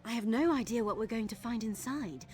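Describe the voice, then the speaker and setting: A woman's voice: on the high side, brisk, darkish tone, close to an everyday voice. An actress voicing an earnest young woman thief searching for her missing father in a video game, confiding in tone. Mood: worried, determined. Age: young adult. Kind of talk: video game character dialogue. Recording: game music behind the voice.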